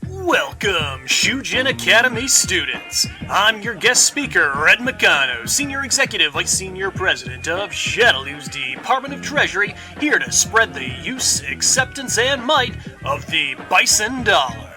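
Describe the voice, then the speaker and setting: A man's voice: high-pitched, big pitch swings, a little slow, hardly any pauses, music in the background. A man playing a bombastic, gleefully pompous treasury executive for a villain, delivering a comic sponsor announcement like a theatrical salesman. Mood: pompous, welcoming.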